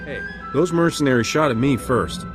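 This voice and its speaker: An actor playing a wry, cocky smuggler captain with a flippant way of talking in a video game. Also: male voice, medium pitch, animated, normal speed, lightly acted. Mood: wry, breezy. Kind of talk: video game character acting. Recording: music under the voice.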